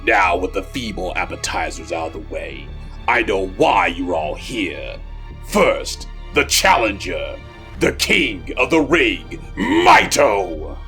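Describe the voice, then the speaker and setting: Grandiose, gravelly alien tyrant. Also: male voice, low-pitched, very animated, slow pace, sharp tone, soft background music, heavily acted. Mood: boastful, taunting. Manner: grandiose, theatrical. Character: brutal boastful alien tyrant announcing arena fights. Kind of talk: arena announcer showmanship.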